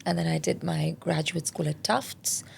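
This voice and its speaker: A woman in her forties from India talks candidly about her life as an interview guest. Her voice sits low and carries a gravelly, crackly texture. She tells her story in a reflective, conversational way. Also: little pitch movement, moderate pace. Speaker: guest, parenting specialist.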